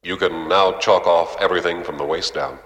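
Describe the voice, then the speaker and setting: An actor playing a hapless, earnest chicken superhero in a comic radio serial, reporting disaster in a deadpan, matter-of-fact way. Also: male voice, low voice, a little fast. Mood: deadpan concern. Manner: deadpan, matter-of-fact about alarming things. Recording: echoey, long reverb, cavernous sound.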